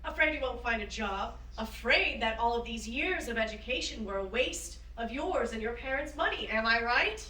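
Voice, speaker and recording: A woman's voice: fairly high pitch, animated, moderate pace, hardly any pauses, sharp tone. A woman playing a spirited, encouraging woman aviator in a staged play reading, with an earnest, emphatic manner. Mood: firm, knowing. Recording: roomy, distant microphone.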